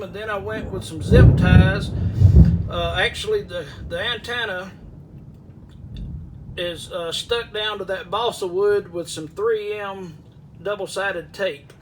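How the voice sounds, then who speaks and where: A man's voice: high voice, slight background noise, rough recording. A man giving a casual hands-on walkthrough in a folksy, rambling way. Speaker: aviation vlogger. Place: inside a small plane at an airport.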